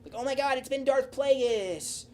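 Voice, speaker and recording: A man co-hosting a casual pop-culture podcast, talking in an animated, rambling way full of slang and swearing. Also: very high voice, moderate pace, a little hiss or hum. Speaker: podcast co-host.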